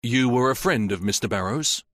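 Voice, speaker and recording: A methodical police inspector in a mystery audiobook, asking measured, polite questions, as a male narrator reads him. The voice is mid-pitched, lively in inflection, and dry. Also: no echo, close to an everyday voice.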